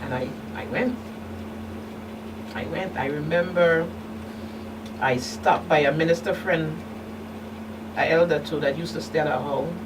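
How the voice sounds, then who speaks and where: A middle-aged woman giving candid personal testimony as an interview guest, speaking haltingly with emphatic turns. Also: sits low, fairly even intonation. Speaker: guest, survivor and advocate. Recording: distant mic, noisy room hum.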